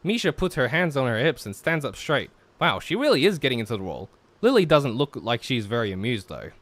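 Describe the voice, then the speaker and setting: A man's voice: medium pitch, sing-song, brisk, a bit bright, dry recording. A man casually joking and reading visual novel narration aloud as he streams a game. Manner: jokey, teasing.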